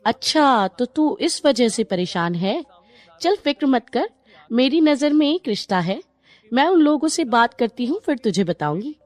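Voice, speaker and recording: Casting: actress as busybody female relative for cartoon story, high-pitched, very animated, brisk and no-nonsense. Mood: chatty, reassuring. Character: brisk, chatty female relative who arranges matches. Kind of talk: animated Hindi moral story dialogue.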